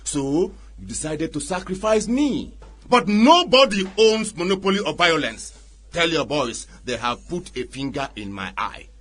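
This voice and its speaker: Radio drama chief: Nigerian, proud and vengeful, high husky voice, declamatory. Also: male voice, sing-song, measured pace, a bit bright, full character voice. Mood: wounded, threatening. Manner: declamatory, indignant.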